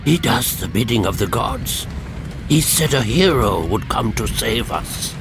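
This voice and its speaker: Slow-talking anxious old townsman. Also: medium pitch, big pitch swings, music in the background. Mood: earnest, troubled. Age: older. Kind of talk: scripted video game cutscene acting.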